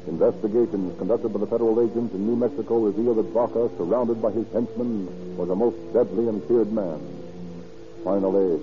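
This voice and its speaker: A man narrating an old radio crime drama in a grave, formal, measured, suspenseful style. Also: fairly low pitch, close to an everyday voice. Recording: muffled old radio recording with music. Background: dramatic music.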